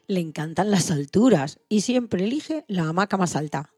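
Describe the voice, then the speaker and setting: Soft, husky woman telling children's stories. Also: lowish voice, animated, normal speed, no room sound, studio quality, faint music behind. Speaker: co-host of an animal-stories programme for all ages. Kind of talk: scripted storytelling narration for children.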